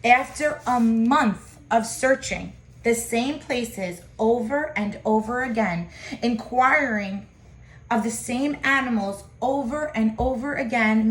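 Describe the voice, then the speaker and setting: High-voiced woman reading a storybook aloud, echoey. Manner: expressive, pausing to comment to listeners. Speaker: storybook reader. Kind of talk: children's picture book read-aloud with asides. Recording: echoey room.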